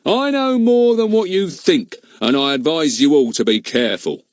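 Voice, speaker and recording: A man voicing a pompous, blustering village policeman who talks bossily in rough everyday grammar. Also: on the high side, animated, normal speed, dry recording, studio quality, voice pushed up, lightly acted. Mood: irate.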